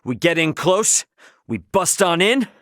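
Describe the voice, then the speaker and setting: An actor playing a brash, easygoing young male starship captain in a video game, with a cocky, informal and expressive delivery. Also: fairly high pitch, unhurried, dry recording, clean studio sound. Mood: casual, determined. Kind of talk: video game character dialogue.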